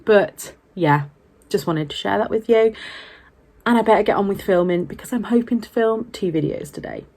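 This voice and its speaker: A woman's voice: mid-range voice, normal speed, darkish tone. A middle-aged woman with a British accent chatting casually to camera as a vlogger, candid and self-deprecating. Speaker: book vlogger. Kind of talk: casual vlog chat to camera.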